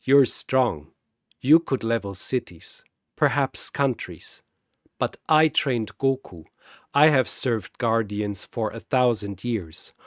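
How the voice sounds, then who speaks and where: A man voicing a calm, ancient male genie servant who speaks slowly and softly. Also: mid-range voice, dry recording, clean studio sound, natural voice. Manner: slow, soft, serene.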